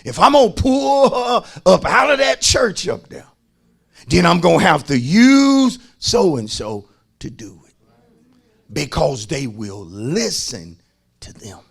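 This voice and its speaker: A man's voice: on the high side, big pitch swings, slow pace, creaky, slightly rough. A man preaching as a pastor, emphatic, repeating phrases and calling on his listeners to respond. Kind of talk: sermon.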